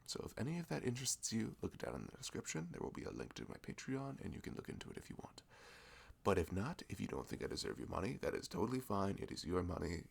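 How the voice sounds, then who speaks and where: A man's voice: low-pitched, sing-song, fast talker, vocal fry, husky. A young man doing a chatty audio roleplay as a friendly shopkeeper, laughing often between lines. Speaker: ASMR roleplay creator.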